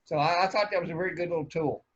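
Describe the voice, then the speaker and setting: A man giving casual feedback on a video call, speaking haltingly and repeating words as he goes. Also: fairly high pitch, fairly bright tone, breathy edge. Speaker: table read participant giving feedback. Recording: dull video-call audio.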